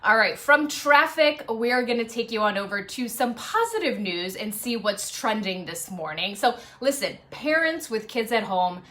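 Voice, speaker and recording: A woman presenting traffic and news live from her apartment dining room on a phone, chatty off air and brisk on air, a little sniffly. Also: a little high, sing-song, bright, forceful tone. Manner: chatty and self-deprecating off air, brisk and upbeat on air. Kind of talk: casual live stream chat and on-air traffic report.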